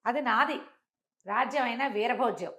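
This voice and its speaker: An older woman telling a traditional moral story in a conversational interview, acting out the characters' speech with lively jumps in voice. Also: normal speed. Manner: animated, acts out quoted speech with big pitch jumps.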